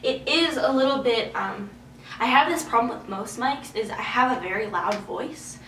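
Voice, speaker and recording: A woman's voice: on the high side, moderate pace, fairly bright tone, a little hiss or hum. Candid woman in an echoey room. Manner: emotional, candid, swears freely. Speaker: vlogger. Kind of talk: candid casual talk to camera. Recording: roomy echo, heard from a distance.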